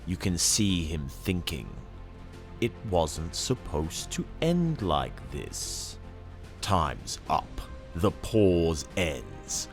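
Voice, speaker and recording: A man reading a dramatic second-person story excerpt in a grave, theatrical, deliberate manner. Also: sits low, gravelly. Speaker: narrator reading a novel excerpt. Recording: dramatic music under the voice. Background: cinematic soundtrack music.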